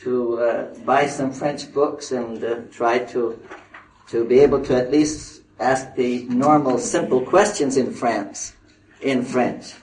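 Older man, halting speaker with many fillers, distant mic, roomy hall, faint noise. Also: mid-range voice, steady tone, a little mellow. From Northern Ireland. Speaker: missionary speaker. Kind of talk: addressing a conference audience.

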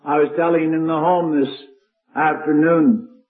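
Older male preacher, slow and fairly high, strong carrying voice, tinny archival sound. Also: steady tone, soft background music. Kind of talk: old-time sermon.

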